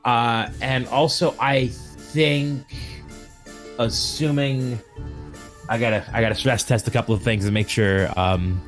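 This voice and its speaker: A man's voice: mid-range voice, steady tone, measured pace, soft background music. A man hosting a casual stream as a game master, making announcements in a rambling, joking way that often interrupts itself. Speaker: host and tabletop game master.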